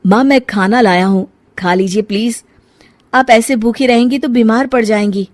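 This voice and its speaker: A woman voicing a devoted, gentle young stepson in a cartoon moral story. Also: on the high side, normal speed, dry recording, natural voice. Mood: pleading.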